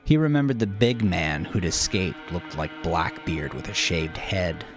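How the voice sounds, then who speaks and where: A man's voice: deep voice, measured pace, soft background music. A man narrating crime fiction as a dramatic scripted audiobook.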